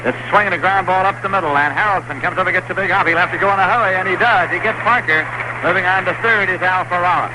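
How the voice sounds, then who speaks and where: A man calling a baseball game play by play on the radio, brisk and excited on big plays. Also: high-pitched. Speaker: play-by-play announcer. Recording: hissy 1960s radio broadcast.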